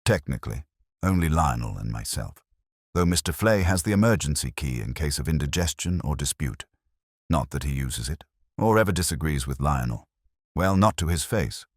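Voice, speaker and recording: A man acts out an anxious, fussy club woman from a mystery story. The voice is low and lively in pitch, precise and hedging, with a gossipy mutter in a dry, close sound. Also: normal speed, no echo. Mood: guarded, fussy.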